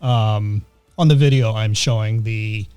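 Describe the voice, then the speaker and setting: A middle-aged man from Greece hosting a podcast in casual chat, often pausing with uh and um. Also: lowish voice, darkish tone, clear, resonant tone, dry recording, faint music behind. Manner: hesitant, many uhs and ums. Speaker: podcast host.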